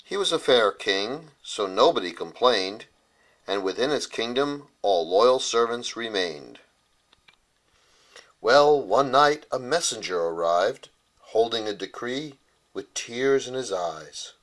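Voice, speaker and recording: A man's voice: medium pitch, lively intonation, slow pace, fairly bright tone. A man narrating a rhyming children's bedtime story as its author.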